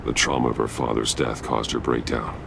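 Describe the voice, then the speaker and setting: An actor playing a brooding, stern masked vigilante in a video game, speaking tersely and gravely in a growl. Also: male voice, extremely low pitch, flat delivery, a little fast, background noise, full character voice. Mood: grim.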